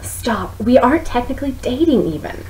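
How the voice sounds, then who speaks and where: A woman's voice: a little high, lively intonation, moderate pace, darkish tone, pitched above the performer's own voice, lightly acted. Woman playing a flustered, exasperated romance heroine. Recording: noisy home recording.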